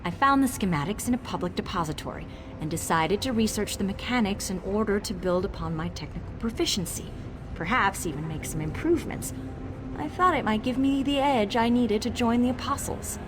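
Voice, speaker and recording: A woman's voice: medium pitch, a little fast, over music, natural voice. A woman voicing an eager, boastful young female mage tinkerer in a video game, dramatic and nervously evasive. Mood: earnest. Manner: dramatic, self-congratulating, hesitant when cornered. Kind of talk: video game character dialogue.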